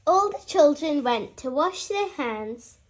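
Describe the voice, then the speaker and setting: A woman reading a children's story aloud in an expressive storytelling style. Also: high voice, slow. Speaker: storybook reader.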